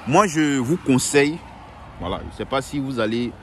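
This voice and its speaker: A man's voice: mid-range voice, expressive, normal speed, fairly bright tone, slight background noise. A young man giving earnest, repetitive advice in a casual monologue, often restarting his sentences.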